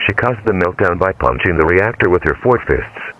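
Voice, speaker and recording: A man's voice: low-pitched, expressive, normal speed, highs cut off. A man's synthetic voice as a grave official announcer reading a formal scripted emergency alert. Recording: very loud noise, hum.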